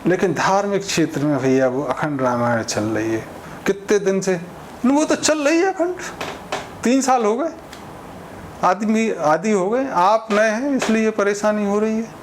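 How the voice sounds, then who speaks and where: High-pitched man giving informal commentary, some noise. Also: animated, unhurried. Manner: conversational, illustrates points with examples and imagined exchanges. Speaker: speaker giving social commentary. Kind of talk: informal opinion monologue.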